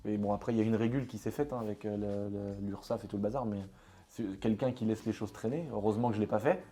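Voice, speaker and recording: A man from France hosting a casual interview, talking in a quick, colloquial and jokey way with many fillers. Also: on the low side, dark tone. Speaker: YouTuber host interviewing a guest.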